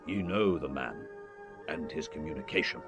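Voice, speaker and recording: A man playing a grave, guarded ancient Israelite army captain in a dramatized scripture reading, speaking in a low-key, deliberate way. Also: animated. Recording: voice over background music. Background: music.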